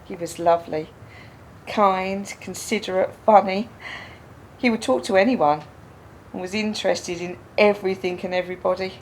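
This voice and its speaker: A woman's voice: mid-range voice, steady tone, normal speed, a little hiss or hum, close to an everyday voice. An actress playing a frank, wry, grieving woman in a naturalistic radio drama, speaking reflectively and repeating phrases for emphasis. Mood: tender. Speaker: radio play actor.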